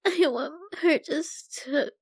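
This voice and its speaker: A woman acts out a troubled young woman painter confiding in a stranger in an ASMR roleplay. Her voice is fairly high and very unhurried, soft and halting, with sighs between phrases. The sound is close and dry. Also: no room sound. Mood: tearful, distressed. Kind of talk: ASMR roleplay, intimate monologue.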